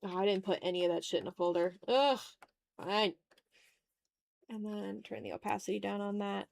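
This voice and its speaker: A woman's voice: medium pitch, steady tone, moderate pace, gravelly, darkish tone, no room sound. A woman chatting casually on an art livestream while drawing, talking to her viewers in an informal, joking, mildly sweary way. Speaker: livestream host, illustrator.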